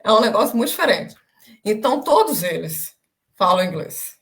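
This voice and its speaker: Young brazilian woman on a video call, mid-pitched voice, chatty storyteller, lots of little "é" pauses. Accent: Brazilian Portuguese. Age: young adult. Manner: lively storytelling with many filler pauses. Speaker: interviewee, former language student. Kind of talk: casual interview chat.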